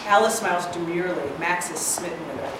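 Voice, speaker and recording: A woman's voice: lowish voice, fast talker. A woman reading stage directions aloud as scripted narration at a screenplay reading. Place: indoor reading hall, recorded from far off. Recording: echoey room, distant mic, clear noise.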